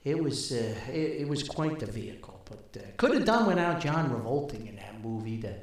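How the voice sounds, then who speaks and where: A very roomy, echoing recording of an older man telling comic anecdotes as a podcast host. His pitch is mid-range and swings widely, with a creaky edge. He has a folksy manner and plays out each bit of dialogue as he goes. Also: very animated, moderate pace. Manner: folksy, chuckles often, acts out dialogue. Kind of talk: humorous anecdotal storytelling.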